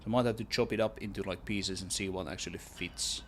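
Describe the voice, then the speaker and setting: Low-voiced Swedish man chatting while working. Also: fast, slight background noise. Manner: relaxed, rambling, repeats words while thinking aloud. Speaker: solo game developer streaming. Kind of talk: casual livestream chat while working.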